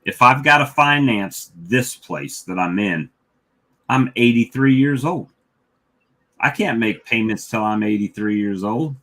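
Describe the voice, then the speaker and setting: A man's voice: mid-range voice, expressive, a little slow, sharp tone. A man in his fifties talking casually about his own views on a livestream with fellow hobbyists. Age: 50s. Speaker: beekeeper livestream host.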